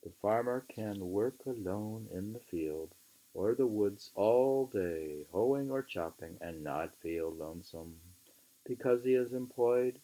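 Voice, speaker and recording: A man reading literature aloud in an emphatic, deliberate, musing way, with informal asides. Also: fairly low pitch, expressive, warm tone, poor audio. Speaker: reader.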